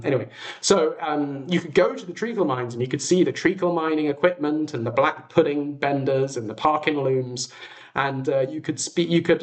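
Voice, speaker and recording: A British man chatting casually as a livestream host, telling stories in a hesitant way and often interrupting himself. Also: mid-range voice. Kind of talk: casual livestream chat, storytelling.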